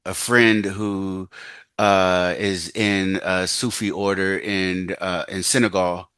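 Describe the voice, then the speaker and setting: A man hosting a conversational interview, speaking in a casual, halting way full of fillers. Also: low voice, little pitch movement, fairly bright tone, clean tone, dry recording, studio quality. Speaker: host.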